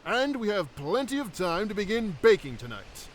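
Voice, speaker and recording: A man playing an excitable, boyishly eager druid royal in a fantasy audio drama, with an exuberant manner. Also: sits high, normal speed, slight background noise. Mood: excited.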